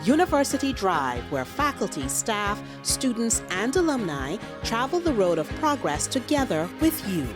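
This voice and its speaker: A woman's voice: mid-range voice, sing-song, normal speed, hardly any pauses, over music. A woman reading a scripted promotional introduction as a radio show announcer.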